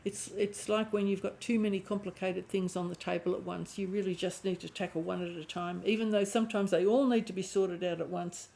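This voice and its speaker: A woman with a croaky voice and a lingering cough giving an intuitive tarot reading, thinking aloud and often restarting her phrases. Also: medium pitch, fairly even intonation, a little fast, hardly any pauses, warm tone, a little hiss or hum. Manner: musing aloud, restarting phrases, clearing throat. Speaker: tarot reader.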